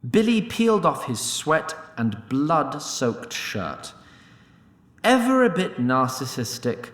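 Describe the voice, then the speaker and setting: A man's voice: a little high, sing-song, slow pace, fairly bright tone, roomy sound. A man narrating a scripted fantasy tale in a theatrical, mock-grand and droll storyteller's manner.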